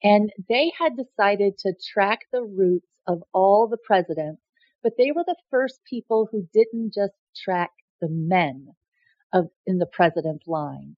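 A woman's voice: medium pitch, expressive, a little slow, no room sound. A middle-aged woman hosting her own show, talking straight to the viewer in a rambling, casual way and often restarting her sentences. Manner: rambling with frequent restarts and repeated words. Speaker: host and self-described presidential candidate. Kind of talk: casual direct-to-camera monologue. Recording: dull lo-fi dry sound.

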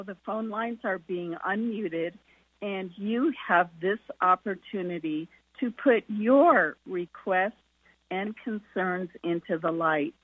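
A woman's voice: mid-range voice, no room sound. Woman moderator on a dry phone line. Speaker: moderator of a conference call. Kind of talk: formal hosting announcements. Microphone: telephone.

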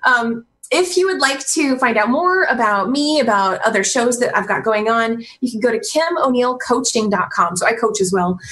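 Higher-pitched woman hosting an interview. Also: lively intonation, normal speed, few pauses, a bit bright. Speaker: radio show host and coach.

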